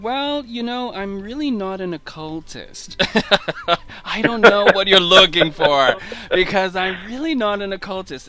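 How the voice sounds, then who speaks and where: A man from Portugal hosts a casual interview, speaking hesitantly, stumbling over his words and often laughing. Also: high-pitched. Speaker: host.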